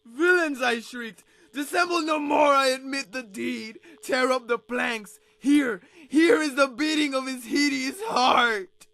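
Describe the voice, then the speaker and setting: A man's voice: very high voice, slow, vocal fry, few pauses, pushed and bright, shouting, full character voice. A man playing a nervous, mad murderer who insists he is sane, in a theatrical, intense gothic horror monologue. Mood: hysterical anguish. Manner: theatrical, intense, shifting between whispers and outbursts.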